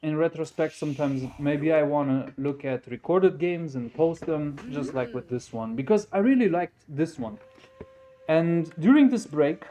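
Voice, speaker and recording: A man's voice: on the high side, measured pace, warm tone. Reflective young man. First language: Romanian. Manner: reflective, thinking aloud. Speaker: gaming YouTuber. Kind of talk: casual commentary over a game replay.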